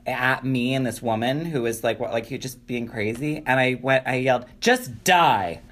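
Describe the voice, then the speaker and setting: A man from Wisconsin co-hosting a casual podcast. He talks in a chatty, teasing way, slipping in deadpan jokes. His pitch sits in a middle range. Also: moderate pace. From Wisconsin, USA. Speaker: podcast co-host. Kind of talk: casual chat.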